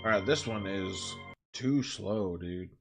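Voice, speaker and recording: A man's voice: lowish voice, slow pace, vocal fry. A man livestreaming in casual, sweary bro slang, chatting and composing a story aloud. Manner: informal, profane, bro slang. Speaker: live-streaming writer and gamer. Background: background music.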